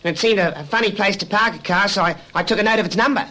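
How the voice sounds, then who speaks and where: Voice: mid-range voice, very fast, pushed and bright, close to an everyday voice. A performer playing an eager, slightly hesitant small country boy in a radio drama.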